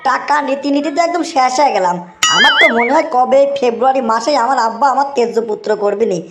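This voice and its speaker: Cartoon schemer, broke young guy with a Bogura accent, voiced by a woman; high and rapid. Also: heavy background noise, natural voice. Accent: Bogura dialect of Bangla. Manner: fast, whiny and wheedling, comic rants. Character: scheming broke young man, boyfriend and son.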